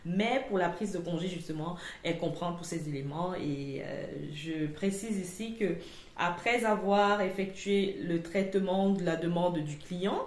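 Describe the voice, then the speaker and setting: A woman presenting an informal lesson in a didactic way, with hesitant phrasing and frequent pauses. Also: fairly low pitch, darkish tone. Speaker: presenter of a customer service channel. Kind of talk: informal instructional talk to camera. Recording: echoey, roomy sound.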